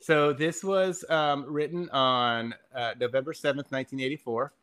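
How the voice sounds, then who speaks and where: Guy on a podcast, medium pitch, casual and jokey, kinda deadpan wry. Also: normal speed, clean tone. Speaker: podcast guest. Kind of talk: casual comedic chat.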